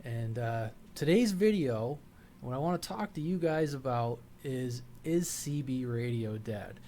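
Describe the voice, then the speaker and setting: Man, medium pitch, casual vlog host, halting folksy phrasing, noisy room ambience. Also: lively intonation, mellow. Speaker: hobby radio channel host. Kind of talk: casual vlog talk to viewers.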